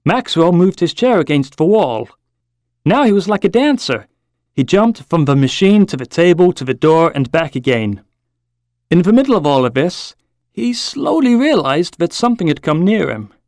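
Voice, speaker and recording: A man narrating a short story in an expressive, measured storytelling manner. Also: fairly high pitch, dry recording, clean studio sound. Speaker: story reader.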